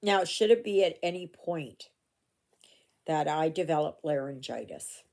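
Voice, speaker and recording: A woman's voice: low voice, expressive, a little slow, creaky, darkish tone. An older woman from Canada chatting casually while she stitches, rambling with asides and fillers, recorded at home on a phone. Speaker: cross-stitch vlogger. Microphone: phone camera.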